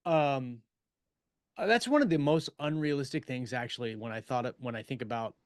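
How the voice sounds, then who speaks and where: A man co-hosting a podcast in casual chat, thinking aloud in a halting, tentative way. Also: mid-range voice, no echo, studio quality. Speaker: podcast co-host, science fiction author. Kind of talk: casual chat about writing craft.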